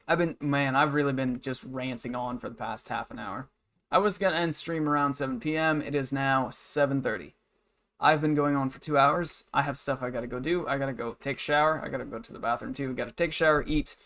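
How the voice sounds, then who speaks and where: A man's voice: medium pitch, fairly bright tone. A man in his twenties chatting casually as a livestreamer, rambling and venting in a blunt, matter-of-fact way. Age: 20s. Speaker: game livestreamer. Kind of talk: casual rambling stream chat, venting about work.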